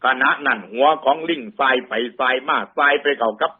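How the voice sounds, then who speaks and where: Man, Isan accent, husky voice, colloquial moral storytelling, repeated phrases, muffled lo-fi sound. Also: medium pitch, normal speed. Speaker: Buddhist storyteller addressing lay listeners. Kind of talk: oral moral folk-tale narration.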